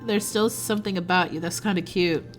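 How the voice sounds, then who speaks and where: Brief: a woman doing a cocky young fairy guy, low and amused, smug drawl over faint game music. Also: fairly quick, close to an everyday voice. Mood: smug teasing. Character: teasing, smirking young male fairy with wings.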